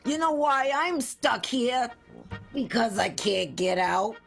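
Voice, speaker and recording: A woman plays a cocky vaudeville comedian in an improvised radio play, trading tough-talking wisecracks. Her high voice is gravelly, slow and lively. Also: soft background music, full character voice.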